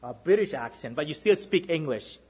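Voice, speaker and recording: A man with a Boston accent delivers a sermon in a slightly husky, higher-than-usual voice. He keeps asking his congregation "right?" and swings between emphatic lifts and quiet, dropped repetitions. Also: animated, moderate pace. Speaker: minister.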